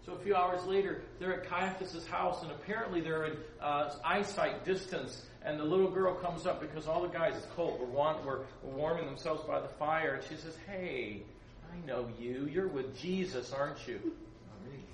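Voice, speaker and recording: Animated preacher, echoey room. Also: male voice, fairly high pitch, moderate pace. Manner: acts out voices, laughs often, chatty asides. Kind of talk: animated storytelling sermon. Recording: roomy echo, distant mic, background noise.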